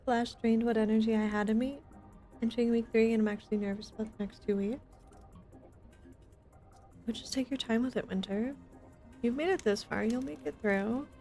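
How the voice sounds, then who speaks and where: Higher-pitched woman chatting on a game stream. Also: fairly even intonation, normal speed, long pauses, mellow. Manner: chatty, addresses viewers by name. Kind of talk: casual livestream gameplay chat. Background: video game music.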